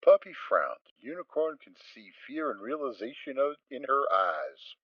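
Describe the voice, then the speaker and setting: A man's voice: mid-range voice, big pitch swings, normal speed, no echo. A man reading scripted story narration as a narrator, with a theatrical storytelling delivery. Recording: dry, slightly dull home recording.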